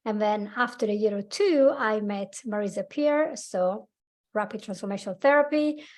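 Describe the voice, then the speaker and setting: A woman's voice: medium pitch, moderate pace, a little mellow. A woman in her forties with an Italian accent, a coach talking animatedly as a podcast guest, laughing often and speaking slightly broken English. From Italy. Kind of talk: casual interview chat.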